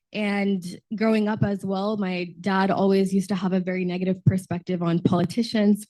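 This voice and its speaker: A woman's voice: medium pitch, a little mellow. A woman in her twenties from Texas, a junior diplomat on a panel, sounding slightly sick from a cold and telling her story earnestly with frequent fillers. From Texas, United States. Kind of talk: panel discussion.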